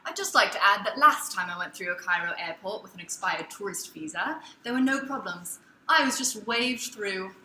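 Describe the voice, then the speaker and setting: Woman acting as a smug pompous male traveler, bright highish voice, staged reading, echoey. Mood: smug, dismissive. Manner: pompous, flippant. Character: smug, unapologetic middle-class Anglo-Saxon male wanderer. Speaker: performer in a dramatic reading of emails. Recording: echoey room, faint background noise.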